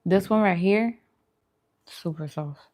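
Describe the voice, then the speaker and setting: A woman's voice: fairly low pitch, takes it slowly, a little mellow. A woman hosting a mukbang, chatting casually to viewers between bites with murmured reactions. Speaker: mukbang host. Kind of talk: casual eating chat to viewers.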